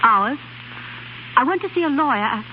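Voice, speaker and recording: An actress playing an anxious but stubborn young wife in a 1930s radio drama. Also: female voice, high-pitched, expressive, moderate pace. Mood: nervous, firm. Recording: muffled, noisy 1930s radio recording.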